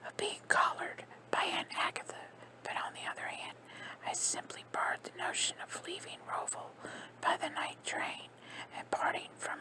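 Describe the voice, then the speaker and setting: A woman reading a comic novel aloud in a soft, steady whisper, in the style of an ASMR audiobook. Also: rough recording. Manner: soft unvoiced whisper throughout. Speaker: narrator.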